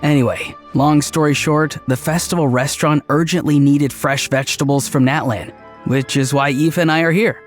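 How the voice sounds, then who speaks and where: A man's voice: fairly high pitch, fairly even intonation, normal speed, faint music behind, lightly acted. A man playing a laid-back, friendly and quirky young man in a video game, casual and literal-minded. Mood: easygoing.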